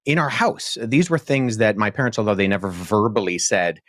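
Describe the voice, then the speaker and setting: A young man speaking as a debate guest, earnest and articulate, laying out his reasoning at a measured pace with frequent fillers. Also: lowish voice, a bit bright, no echo, studio quality. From born in Pakistan, childhood in Jordan. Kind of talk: podcast debate answer.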